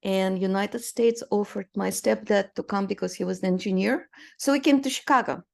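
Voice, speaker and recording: A woman in her sixties, originally from Romania, speaks as an interview guest. She talks in a storytelling way, full of small fillers and brief laughs. Her pitch sits in a middle range for a woman. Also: normal speed, vocal fry, darkish tone. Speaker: guest artist. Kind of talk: conversational interview.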